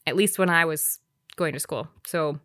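A woman's voice: low-pitched, normal speed, fairly bright tone, dry recording, studio quality. A woman, co-hosting a casual podcast and explaining things in fluent, easy sentences. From Wisconsin, USA. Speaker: podcast co-host. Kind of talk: casual chat.

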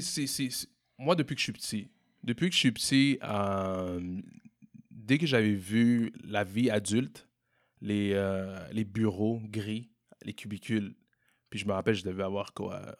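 A young man from Montreal with a Québécois French accent, answering interview questions in a relaxed way, often repeating and restarting words. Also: lowish voice, unhurried. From Montreal, Quebec. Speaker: entrepreneur guest. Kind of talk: casual interview conversation.

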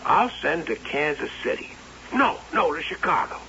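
An actor playing an obsessive, excitable carnival showman in an old-time radio drama, by turns musing and defensive. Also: a little high, normal speed, gravelly, exaggerated voice. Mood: eager. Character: obsessive carnival showman and inventor, smitten with his wax doll. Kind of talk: old-time radio drama acting with first-person narration. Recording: muffled, hissy old radio recording.

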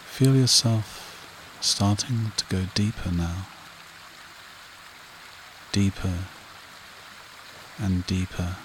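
A man leading a guided meditation and hypnosis in a soothing, repetitive manner with short phrases and long pauses. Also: sits low, a little hiss or hum. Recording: rain and running water behind voice. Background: steady rain and stream sounds.

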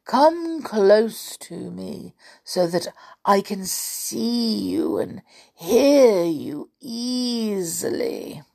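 A woman plays a sly, wicked old male wolf from a storybook, pretending to be a frail granny. The lines are coaxing and stretched very slowly. The pitch swoops theatrically with villainous relish. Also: animated, vocal fry, dark tone, heavily acted. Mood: feeble, coaxing. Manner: coaxing, drawn-out, exaggerated storybook villain delivery.

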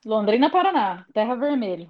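Inglesa jovem falando português, tom agudo. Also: female voice, a little high, a little fast, dull audio, crowd noise. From England. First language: English. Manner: chatty, lively storyteller. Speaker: interview guest. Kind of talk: casual conversation.

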